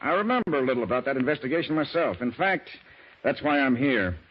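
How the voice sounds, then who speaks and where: An actor playing a composed, reasoning newspaper reporter in old-time radio drama style. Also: male voice, mid-range voice, expressive, fast, breathy edge, dull audio. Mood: reasoning, firm.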